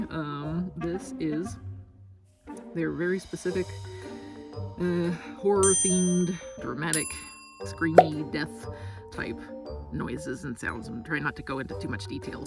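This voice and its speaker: A woman's voice: deep voice, a little slow, gravelly, far from the mic, over music. A woman with an American accent chatting casually to viewers as a voice actor, in a small recording booth. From United States. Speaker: voice actor, video host. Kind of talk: casual behind-the-scenes chat.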